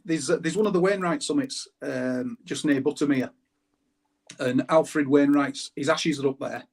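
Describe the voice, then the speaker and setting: A man's voice: a little high, normal speed. A British man, a guest on a show, explaining things in casual conversation. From United Kingdom.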